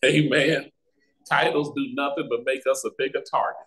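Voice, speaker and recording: An American man teaching a Bible study as a pastor, with deliberate, emphatic phrasing and pauses, over a video call. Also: medium pitch, steady tone, sharp tone, rough recording. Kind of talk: Bible teaching with scripture reading. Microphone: video call microphone.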